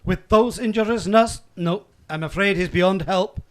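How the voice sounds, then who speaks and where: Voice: medium pitch, measured pace, natural voice. An actor playing a bumbling, cheeky hospital doctor, pompous and flustered in a comic radio play.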